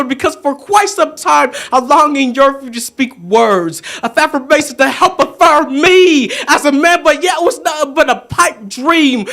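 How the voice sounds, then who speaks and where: A young man with a strikingly high voice performs spoken word poetry. His delivery is theatrical and impassioned, leaning hard on key phrases in the manner of a dramatic faith testimony. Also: pushed and bright. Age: 20s.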